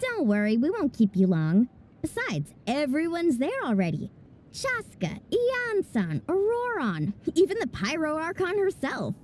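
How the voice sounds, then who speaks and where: A woman's voice: high voice, wildly swooping pitch, unhurried, darkish tone, a little hiss or hum, full character voice. A woman voicing a friendly, reassuring young female companion in a video game. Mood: cheerful, reassuring. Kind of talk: animated video game voice acting.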